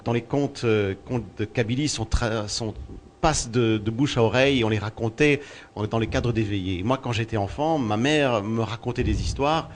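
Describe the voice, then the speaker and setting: A male storyteller from Belgium with a mid-range voice, answering questions in an unhurried, thoughtful way. He often repeats a word as he searches for the next phrase. Faint ambient noise sits behind him. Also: medium pitch. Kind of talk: interview answers. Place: outdoors at a festival.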